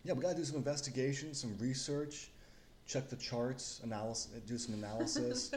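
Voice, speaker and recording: A man's voice: medium pitch, fairly quick, a little mellow. A man in his late twenties co-hosting a podcast, chatting in an upbeat, joking and reassuring way. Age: late 20s. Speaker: podcast co-host. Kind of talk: casual chat. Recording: echoey room, faint ambience.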